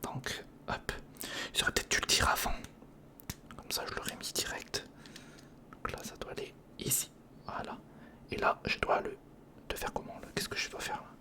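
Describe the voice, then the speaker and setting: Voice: moderate pace, long pauses, pushed and bright. An ASMR host whispering breathily and close to the mic, thinking aloud to the listener through a step-by-step build. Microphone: close recorder or studio condenser microphone.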